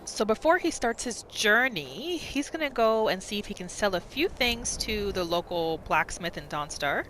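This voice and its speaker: A woman's voice: medium pitch, big pitch swings, moderate pace, a bit bright, soft background music. A woman giving casual, storytelling commentary as she narrates a roleplay video game. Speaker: gamer narrating a roleplay series.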